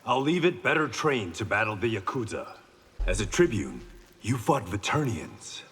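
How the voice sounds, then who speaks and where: A man voicing a calm, principled blind swordsman in a video game, earnest and controlled. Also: on the low side, normal speed, breathy edge, exaggerated voice. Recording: noisy game ambience, clicks.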